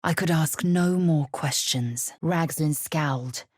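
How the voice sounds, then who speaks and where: A woman playing a fierce, proud githyanki warrior in a video game, with a husky, raspy voice and a clipped, haughty, formal manner. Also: deep voice, slow, darkish tone, no room sound, studio quality. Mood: solemn, brooding. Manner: clipped, haughty, imperious, formal archaic phrasing.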